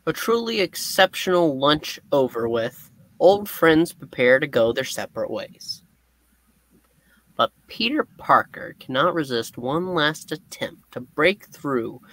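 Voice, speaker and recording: Slow, bright-voiced male comic narrator. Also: mid-range voice, lively intonation, rough recording. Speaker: narrator and co-reader. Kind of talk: comedic live comic book narration.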